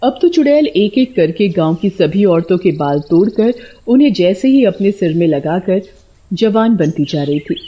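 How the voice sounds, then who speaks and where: A woman's voice: fairly low pitch, big pitch swings, normal speed, breathy edge. A woman narrating a scripted bedtime story as storyteller. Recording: dull lo-fi audio.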